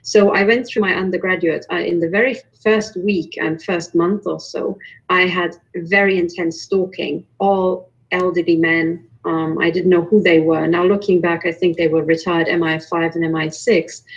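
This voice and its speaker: A woman's voice: on the low side, fairly even intonation, moderate pace, breathy edge, muffled recording. A woman from Romania, a guest on a video call roundtable who talks earnestly and often repeats words as she starts a sentence. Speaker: panel guest. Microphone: video call microphone.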